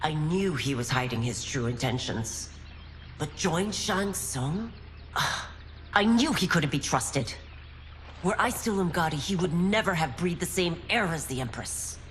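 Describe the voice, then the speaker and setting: A woman's voice: low voice, expressive, a little slow, slight background noise. A woman voicing a resolute female constable and former royal guard who speaks earnestly. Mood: determined. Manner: earnest, determined. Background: music.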